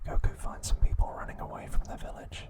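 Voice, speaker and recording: A man hosting ASMR, narrating in a hushed, soothing, breathy whisper at an unhurried pace. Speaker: ASMR host.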